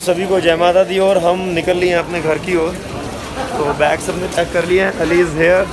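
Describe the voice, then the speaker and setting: A man's voice: high-pitched, level tone, moderate pace, over music, rough recording. A young man talking to viewers in a chatty, upbeat travel-vlog style while holding his phone at arm's length outdoors. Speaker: vlogger. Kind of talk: casual travel vlog narration. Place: outdoors by a roadside, cold evening. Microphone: phone held at arm's length.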